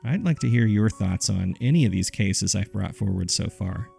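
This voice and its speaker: A man with a gravelly, husky voice reading scripted horror case files in a measured, subdued way, as narrator and host. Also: fairly low pitch, expressive, dark tone, faint music behind.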